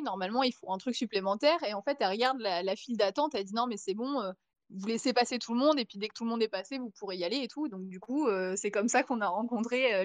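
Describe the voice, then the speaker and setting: A young French woman, a podcast guest, telling a long anecdote in casual chat with many fillers and restarts. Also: on the high side. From France. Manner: chatty storytelling with many fillers.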